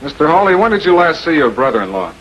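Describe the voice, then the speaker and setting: An actor playing a calm, persistent public prosecutor in a 1940s filmed crime drama, questioning people in a measured, authoritative way. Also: male voice, fairly high pitch, highs cut off. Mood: firm, composed. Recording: noisy old 1940s film soundtrack.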